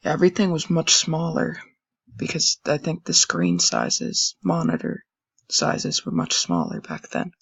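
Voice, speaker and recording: A young man with a raspy voice, casually commenting and thinking aloud while browsing old web pages on a screen recording. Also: fairly high pitch, very animated, measured pace, fairly bright tone, dry recording. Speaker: channel host. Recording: dull lo-fi dry recording.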